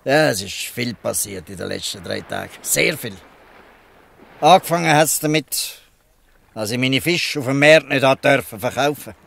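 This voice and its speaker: A weary old fisherman of an audio drama, acted by a man, with a Swiss German accent. He sits at a middle pitch and explains in a folksy, halting way, kind underneath his tiredness. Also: expressive, vocal fry, fairly bright tone. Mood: grave, weary. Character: weary, good-natured old fisherman, father figure to children. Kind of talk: dialect audio drama acting.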